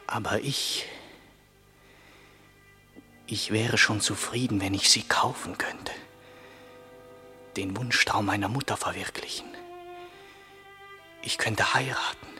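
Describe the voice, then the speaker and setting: An actor playing a poor, brooding young surgeon in a German radio play, speaking in a hushed, halting way as he muses to himself. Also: mid-range voice, exaggerated voice. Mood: brooding, bitter.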